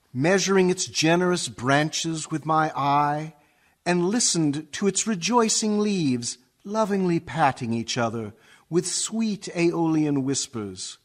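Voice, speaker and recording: Man acting reverent nature lover, high-pitched for a man, slow measured poetic delivery, radio verse monologue. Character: gentle dead greenhouse keeper, reverent lover of trees.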